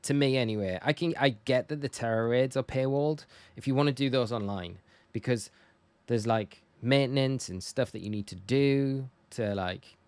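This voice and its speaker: A man's voice: mid-range voice, moderate pace, darkish tone, smooth, dry recording. A man from northeast England with a northern English accent, chatting casually and informally to viewers as a live game streamer. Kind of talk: casual livestream chat while gaming.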